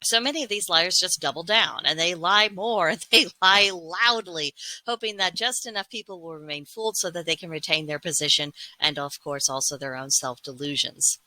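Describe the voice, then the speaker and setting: A woman hosting a folklore series, speaking in an earnest, deliberate way as she delivers a scripted monologue with formal wording. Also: fairly low pitch, sharp tone, no room sound, low-quality recording. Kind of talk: scripted monologue retelling and commenting on fables.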